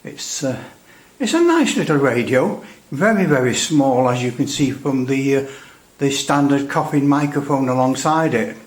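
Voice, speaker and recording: An echoey indoor recording of a man with a mid-pitched voice. He talks through a technical demonstration casually, rambling and hesitating as he goes, with a dry sense of humour. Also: lively intonation, moderate pace. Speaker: radio hobbyist presenting a repair demonstration. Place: indoors at a workbench. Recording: echoey room.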